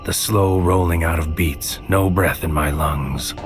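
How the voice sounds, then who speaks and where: A brooding, anxious male infiltrator in a sci-fi audio drama. The voice is very low, and the phrasing is terse, poetic and deliberate. Music plays under the narration. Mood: ominous, brooding. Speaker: narrator and lead character. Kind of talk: scripted first-person noir sci-fi audio drama narration.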